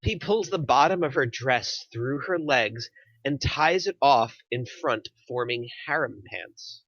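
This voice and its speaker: Bright, lively male stage-direction narrator. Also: mid-range voice, unhurried, no echo, lo-fi sound. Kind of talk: scripted narration at a table read.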